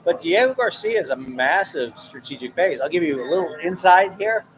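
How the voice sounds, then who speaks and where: A man's voice: a little high, lively intonation, normal speed, a little hiss or hum, low-quality recording. A man from New York, a military analyst who hosts a channel, talking casually and with opinions in a bar, often repeating words while he thinks. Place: bar, ambient noise.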